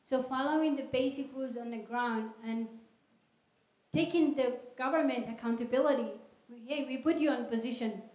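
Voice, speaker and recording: A woman answering audience questions at a lecture in an earnest, measured way, speaking into a handheld microphone in a large hall. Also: high voice, darkish tone. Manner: earnest, measured, non-native phrasing. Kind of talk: public Q&A talk. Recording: echoey hall.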